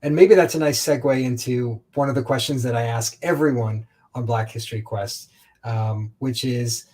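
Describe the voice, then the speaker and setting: A man hosting a conversational interview, speaking in a measured, articulate and reflective way. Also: mid-range voice, steady tone, a little mellow. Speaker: host, interviewer.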